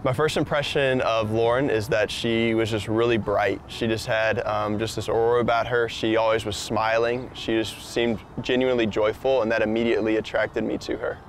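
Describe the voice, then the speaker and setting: A man's voice: on the low side, little pitch movement, normal speed, few pauses, a little hiss or hum. A young adult man casually telling his own love story in a personal, conversational style.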